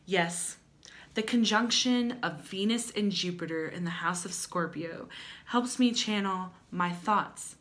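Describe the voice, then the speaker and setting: A woman's voice: mid-range voice, slow, a bit bright. Wry, theatrical young woman reading aloud. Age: young adult. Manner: playful, wry, theatrical emphasis. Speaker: host. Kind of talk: comedic dramatic reading with chatty asides. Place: small indoor room at home.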